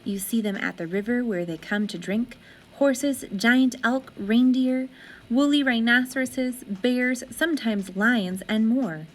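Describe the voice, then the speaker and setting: A woman reading a children's story aloud as an expressive narrator, with deliberate, dramatic phrasing. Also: a little high.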